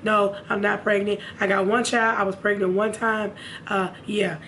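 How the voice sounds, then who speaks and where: A young woman with a raspy, hoarse voice, chatting casually to her viewers about makeup in a room at home. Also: mid-range voice, level tone, normal speed, a bit bright, a little hiss or hum. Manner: chatty, addresses viewers directly. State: hoarse from a sinus infection. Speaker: beauty vlogger. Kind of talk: casual makeup haul chat.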